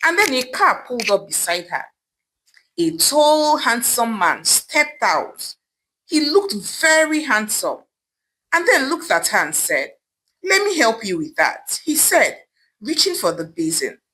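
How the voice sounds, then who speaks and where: A woman's voice: on the high side, sing-song, unhurried, bright, forceful tone, slight background noise, poor audio. A woman storyteller narrating a scripted folktale in a dramatic, emphatic manner.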